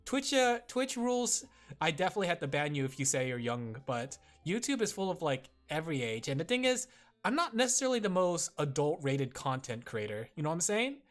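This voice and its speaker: A man's voice: fairly high pitch, big pitch swings, brisk. A man streaming and chatting casually with his viewers, rambling with lots of fillers and frequent giggles.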